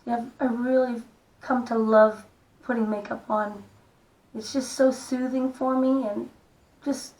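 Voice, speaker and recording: A middle-aged woman with a croaky voice, gently talking through a makeup tutorial in a soft, unhurried way. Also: on the high side, rough recording. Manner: soft-spoken, unhurried, stops and restarts gently. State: hoarse, croaky voice. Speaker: makeup vlogger. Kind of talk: casual makeup tutorial talk.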